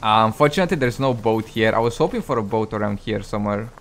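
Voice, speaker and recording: A man's voice: lowish voice, animated, fast. A man with a tired, cracking voice giving casual, chatty commentary over his survival gameplay, joking at his own mistakes and talking to viewers. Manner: chatty, self-deprecating, talks to viewers. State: voice cracking, going hoarse. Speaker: gaming channel host.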